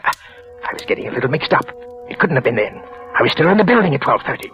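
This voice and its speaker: Jittery guilty office clerk. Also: male voice, mid-range voice, big pitch swings, a bit bright. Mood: defensive. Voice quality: halting, stammering, with nervous little laughs. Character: nervous guilty male office clerk, middle-aged. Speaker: narrator and lead character. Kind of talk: 1940s radio drama acting. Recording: old radio broadcast with music behind.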